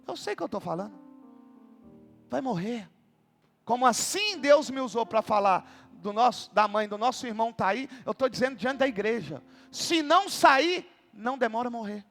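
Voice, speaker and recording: A Brazilian man, a pastor, telling a story in a sermon and then preaching with rising passion, at times shouting. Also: very high-pitched, long reverb tail. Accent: Brazilian Portuguese.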